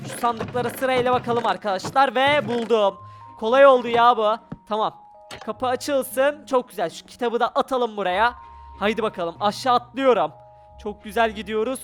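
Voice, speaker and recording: A gamer giving excited, exclamatory gameplay commentary with role-play, narrating every action aloud in speech. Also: medium pitch. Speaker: gaming video creator. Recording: music behind the voice. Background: background music.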